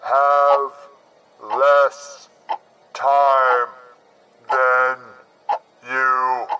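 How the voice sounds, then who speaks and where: A menacing radio-drama intro voice, done by a man. Each word is drawn out at an extremely slow pace in a level, ominous tone, with music behind. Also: mid-range voice, flat delivery, poor audio. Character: menacing male voice counting down doom.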